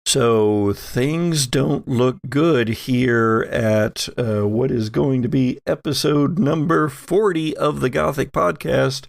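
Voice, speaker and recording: A man's voice: mid-range voice, takes it slowly, gravelly, hardly any pauses, no room sound, studio quality. A man narrating as game master on an actual-play podcast.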